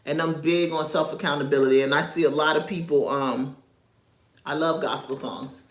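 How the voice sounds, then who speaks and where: A woman's voice: low-pitched, fairly even intonation, normal speed, reverberant. A woman from New York, raised in North Carolina, giving casual livestream commentary. She talks in a chatty way, drifts off topic and chuckles often. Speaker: social media commentator.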